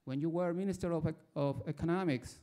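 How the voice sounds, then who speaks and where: A man's voice: a little high, quick pace, dark tone. A man in his forties from Ecuador with an Ecuadorian accent, telling a personal story from the floor before asking his question. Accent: Ecuadorian Spanish. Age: 40s. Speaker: audience member asking a question. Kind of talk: prepared speech from the floor.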